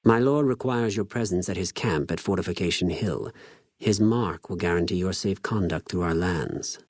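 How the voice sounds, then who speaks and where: An actor playing a cold, fanatical legion spy in a video game, speaking formally with measured, contemptuous politeness. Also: male voice, low voice, a little mellow, no room sound, clean studio sound. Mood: formal, composed. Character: cold, fanatical male legion spy and infiltrator, menacingly courteous. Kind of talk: scripted game character dialogue.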